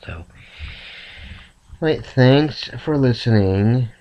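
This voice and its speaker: A man sick and rambling softly to his camera late at night in a raspy, half-whispered voice. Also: mid-range voice, lively intonation, lo-fi sound. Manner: slow, meandering, soft-spoken. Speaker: vlogger.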